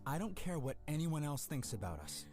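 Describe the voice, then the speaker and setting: A man playing an earnest, self-assured game hero in a measured, presentational demo-reel performance. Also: a little high, sing-song, over music. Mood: tender, earnest. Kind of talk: dramatic voice acting demo reel.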